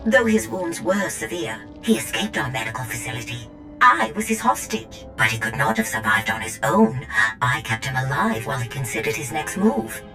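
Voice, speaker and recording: A woman's voice: very deep voice, big pitch swings, normal speed, music in the background, heavily acted. A woman voicing a frightened female medical droid who begs for help, in a game cutscene. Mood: pleading. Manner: desperate, begging.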